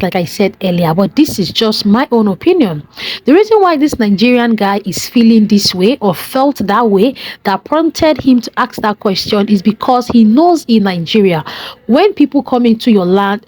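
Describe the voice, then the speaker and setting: A woman's voice: a little high, a little mellow, poor audio. A young woman hosting her own channel, commenting conversationally on news and giving her own opinion. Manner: conversational, reacts with surprise, gives own opinion. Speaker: channel host. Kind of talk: commentary vlog.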